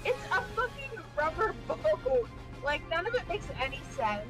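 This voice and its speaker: A woman's voice: extremely high pitch, sing-song, moderate pace, music in the background. A woman co-hosting a reaction show, giving blunt, exasperated, casual commentary on a film.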